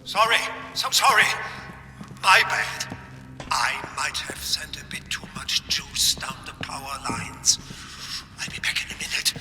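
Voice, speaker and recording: An actor playing a nervous, chatty, friendly scientist in a video game, hesitant, apologetic and quick to get excited. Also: male voice, medium pitch, recorded from a distance. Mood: sheepish, busy. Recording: echoey hall, loud music behind.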